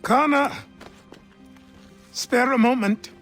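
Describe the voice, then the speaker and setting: An actor playing a gruff, plain-spoken old mentor in a video game. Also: male voice, very high-pitched, takes it slowly, fairly bright tone, faint music behind, exaggerated voice. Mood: instructive. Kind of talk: dramatic video game acting.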